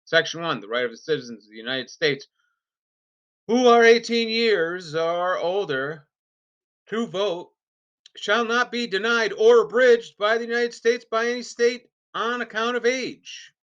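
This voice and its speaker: A man with a crisp, forceful voice reads a formal document aloud. His delivery is deliberate and unrehearsed, breaking into halting phrases with emphatic openings. The recording is somewhat dull and lo-fi. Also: high-pitched. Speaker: reader.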